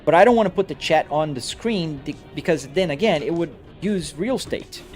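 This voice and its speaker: A man's voice: on the high side, animated, fairly quick, darkish tone, faint music behind. A young man from Brazil with a Brazilian accent mixed with American, chatting casually and answering viewer comments while he plays a driving game. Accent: Brazilian Portuguese mixed with American. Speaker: live streamer. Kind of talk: casual live-stream chat while gaming.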